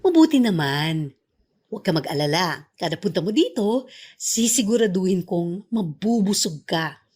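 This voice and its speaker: A woman's voice: lowish voice, moderate pace, husky. An actress playing a doting, nosy middle-aged Filipino mother who fusses and teases in a radio drama. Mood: doting, fussy. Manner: fussing, teasing, expressive.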